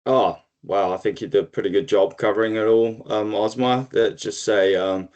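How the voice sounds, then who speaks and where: A man's voice: on the low side, fairly even intonation, a little mellow. A man in his forties giving a loose, chatty introduction of himself. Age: 40s. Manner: loose, chatty, slightly halting. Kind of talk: casual self-introduction.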